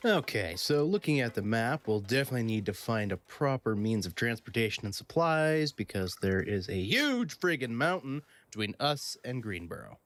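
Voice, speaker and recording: Cynical, animated roguish adventurer played by a man. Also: medium pitch, moderate pace, few pauses, a little hiss or hum. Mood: planning. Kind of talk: comedy audio drama acting.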